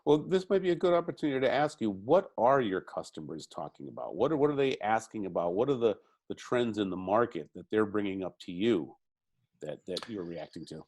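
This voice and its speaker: A man's voice: lowish voice, lively intonation, a little fast, darkish tone. A man asking questions as the interviewer in a conversational video-call interview.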